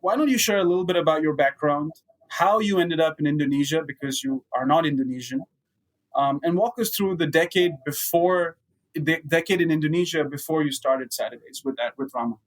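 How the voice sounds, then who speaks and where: Male business podcast host, investor type. Somewhat higher pitch, prepared conversational intro. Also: fairly quick, poor audio.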